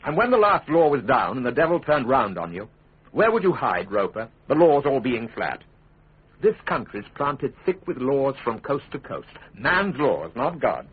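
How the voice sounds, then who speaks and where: A shrewd, principled English statesman of Tudor times in a radio play. His voice is very husky and swings expressively through measured, ironic speeches, on a muffled lo-fi recording. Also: mid-range voice, big pitch swings. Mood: impassioned. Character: principled, shrewd middle-aged male Tudor lawyer and father. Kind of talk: British radio drama acting.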